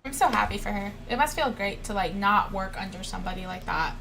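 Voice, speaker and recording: A woman's voice: medium pitch, expressive, brisk, creaky, fairly bright tone, slight background noise. A woman streamer giving casual, slangy, reactive commentary on a game, swearing freely. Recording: faint music behind the voice.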